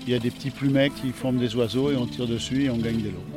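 French man, middle pitch, arrow maker being interviewed, halting and casual. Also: steady tone, over music. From France. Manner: hesitant, many fillers, plain-spoken. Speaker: archery club captain and arrow maker.

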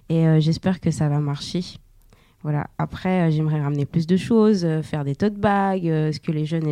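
A woman's voice: low-pitched, warm tone, no room sound. A young woman born in Portugal, guest on a local radio show, talking casually with frequent fillers. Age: young adult. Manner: hesitant, many fillers.

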